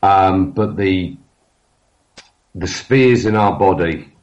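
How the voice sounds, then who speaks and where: A man, talking casually in a halting way with many fillers and restarts. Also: sits low, a little mellow. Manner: halting, many fillers and restarts, loses his train of thought. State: has a cold. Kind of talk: casual podcast conversation. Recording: dull lo-fi sound.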